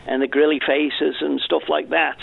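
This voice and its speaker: A man's voice: fairly high pitch, level tone, moderate pace, creaky, husky. A man calling a radio phone-in, chatty and joking. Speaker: caller. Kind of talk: phone-in call, casual chat. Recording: dry tinny phone line.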